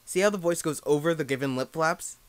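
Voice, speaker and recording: A man's voice: a little high, fairly quick, noisy background. A young man giving a casual, informal tutorial as an amateur, talking in a self-deprecating way. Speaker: amateur fan dub creator giving a tutorial.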